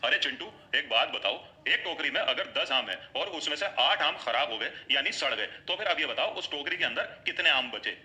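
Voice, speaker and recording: A very sharp, forceful schoolmaster from a cartoon, acted out by a man. He sounds puzzled and exasperated, quizzing in a scolding teacher's manner. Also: a little high, animated, a little fast, few pauses.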